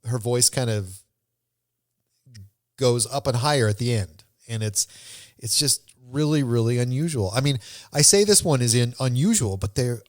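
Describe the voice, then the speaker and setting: A man hosting a podcast in a casual, conversational way, with a halting, rambling delivery. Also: medium pitch, expressive, no room sound, studio quality. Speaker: podcast host.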